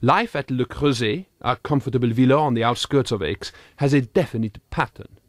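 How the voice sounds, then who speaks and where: A man's voice: mid-range voice, moderate pace, dry recording, clean studio sound, lightly acted. A man voicing an earnest, pompous thirty-eight-year-old Frenchman with a French accent, reading a comic audiobook diary in formal, quaintly wordy phrases. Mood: earnest, self-important.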